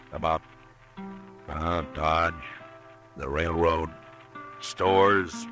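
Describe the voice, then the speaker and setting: A deep-voiced marshal. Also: male voice. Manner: low, measured, calm. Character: weary, steady US marshal narrating. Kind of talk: 1950s radio drama narration.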